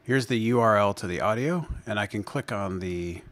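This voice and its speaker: A man presenting a software demo in an unscripted screencast, explaining step by step in a measured way. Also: fairly low pitch, no echo. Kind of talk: screencast tutorial narration, unscripted.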